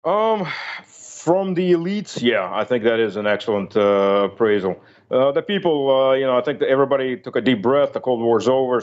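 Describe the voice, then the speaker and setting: A middle-aged or older man who grew up speaking Russian, recalling and analysing military experience in a halting, informal way. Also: mid-range voice, darkish tone, highs cut off. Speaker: interview guest, military veteran. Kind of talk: conversational personal recollection and analysis.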